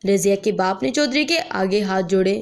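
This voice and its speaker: A woman's voice: medium pitch, animated, normal speed. A woman narrating a scripted dramatic story as a storyteller.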